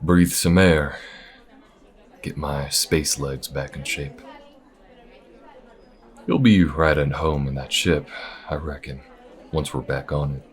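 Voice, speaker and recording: Man acting as a very deep-voiced folksy space bounty hunter. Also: steady tone, a faint crowd. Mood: relaxed, sincere. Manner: folksy, unhurried, reassuring. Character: folksy, loyal male space bounty hunter, steady and protective. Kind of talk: intimate audio roleplay acting.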